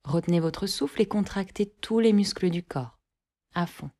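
A woman guiding a yoga nidra relaxation, giving calm, measured instructions in short phrases with long pauses between them. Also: lowish voice. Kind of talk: guided relaxation meditation, scripted instructions.

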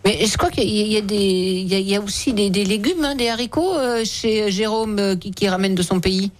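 A woman hosting a radio show in a lively, conversational interview style, chatty and teasing with guests. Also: mid-range voice, a little fast.

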